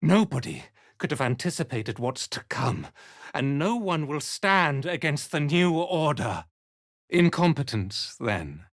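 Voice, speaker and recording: A cold fantasy-game villain, a zealous commander of a cult. His delivery is slow and theatrical, swinging widely in pitch with haughty disdain. The sound is dry and close. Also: big pitch swings, dry recording. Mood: cold authority. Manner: disdainful, measured, theatrical, imperious. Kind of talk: fantasy video game dialogue.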